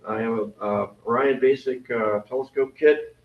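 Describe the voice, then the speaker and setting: A deep-voiced man gives club meeting announcements in a rambling, joking, self-deprecating way. He is picked up from across an echoey room. The sound is dull and muffled. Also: steady tone, moderate pace. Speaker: event committee member. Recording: roomy, distant, dull meeting recording.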